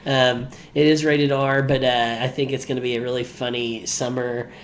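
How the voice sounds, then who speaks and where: A man's voice: mid-range voice, flat delivery, normal speed. A man co-hosting a show, giving his opinions on movies in casual, conversational talk. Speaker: podcast co-host.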